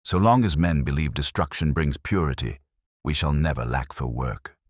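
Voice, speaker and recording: A man voicing a grave, brooding, cerebral detective in a storybook reading, speaking in measured, solemn aphorisms. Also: rumbling low, no room sound, clean studio sound. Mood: grave, soft.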